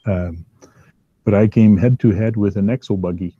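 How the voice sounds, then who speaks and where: A man with a big, low voice rambles casually as he hosts from his workbench at home, filling gaps with ums and uhs. The sound is dry and a little dull, with no room echo. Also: steady tone, moderate pace. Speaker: host. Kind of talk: casual chat while working at a hobby bench.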